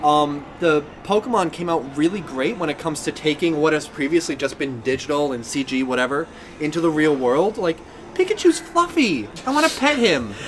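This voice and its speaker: Male podcast co-host, slightly high voice, chatty and enthusiastic, casual discussion, some background noise. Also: expressive, moderate pace, a bit bright. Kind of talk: casual pop-culture discussion.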